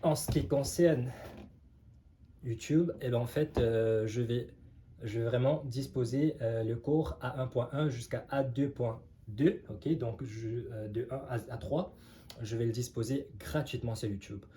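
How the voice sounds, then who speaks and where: Mellow-voiced man teaching, full of fillers. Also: medium pitch, unhurried, slight background noise. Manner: hesitant, many filler sounds; relaxed, conversational explaining. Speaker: French teacher. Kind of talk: casual online language lesson.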